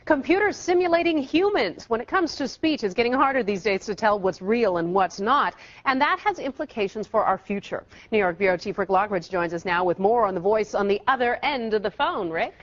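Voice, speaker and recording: A woman's voice: medium pitch, expressive, brisk, few pauses. Female news anchor, muffled recording. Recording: dull, muffled broadcast copy.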